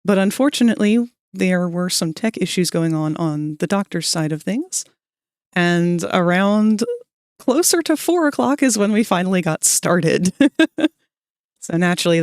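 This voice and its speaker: A woman's voice: on the low side, lively intonation, moderate pace, darkish tone, dry recording, clean studio sound. Chatty woman streamer with a scratchy voice. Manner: chatty, greets viewers, laughs and clears throat often. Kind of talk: casual stream chat. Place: cold room at home.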